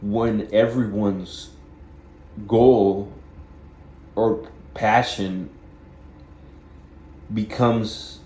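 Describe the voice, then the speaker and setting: A man with a deep voice giving a rambling spiritual self-help monologue, halting and repeating phrases as he goes. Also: fairly even intonation, a little mellow, echoey room. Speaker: vlogger.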